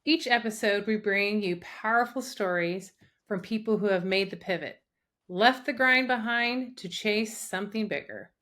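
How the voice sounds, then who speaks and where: A woman's voice: medium pitch, moderate pace, fairly bright tone. Upbeat woman co-hosting a podcast. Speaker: podcast co-host, business coach. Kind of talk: scripted intro and interview hosting.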